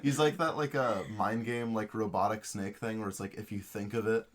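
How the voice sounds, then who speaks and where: A man on a podcast panel chatting casually in an informal, slightly halting way. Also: fairly low pitch, steady tone. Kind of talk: casual chat.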